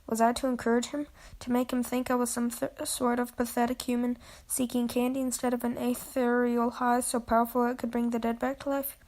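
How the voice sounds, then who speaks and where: A woman's voice: a little high, level tone, brisk, few pauses, a little mellow. A young woman with a scratchy voice, co-hosting a podcast and reading a horror story aloud, with lazy, teasing banter in between. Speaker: podcast co-host.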